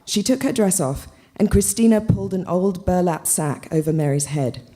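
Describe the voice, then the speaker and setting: A woman's voice: lowish voice, animated, moderate pace, warm tone. A woman reading fiction and literary commentary aloud as narration at a literary event.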